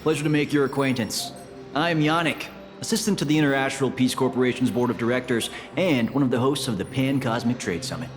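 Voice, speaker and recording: A man's voice: medium pitch, fairly quick, natural voice. An actor playing a polished, courteous male corporate assistant who hosts a summit, speaking formally and with composure. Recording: game voice-over with music.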